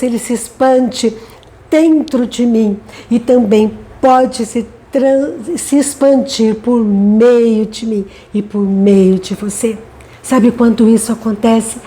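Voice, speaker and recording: A middle-aged woman from Brazil giving a gentle devotional talk, unhurried and often adding tag questions. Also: a little high. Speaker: devotional speaker.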